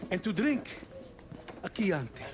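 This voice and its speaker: Effusive, high-pitched restaurateur. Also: male voice, very animated, unhurried, music in the background. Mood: effusive, eager. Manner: eager, fussing host. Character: effusive, fawning male Italian restaurant owner. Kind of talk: cinematic video game acting.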